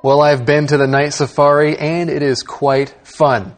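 A man's voice: on the high side, level tone, unhurried, loud music behind the voice. Man hosting an English lesson. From Taiwan. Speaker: host.

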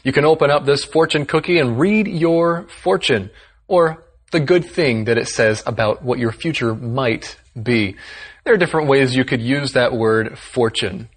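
A man's voice: medium pitch, moderate pace, husky. Man, lesson co-host, mid voice, friendly playful reads. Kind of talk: scripted lesson dialogue.

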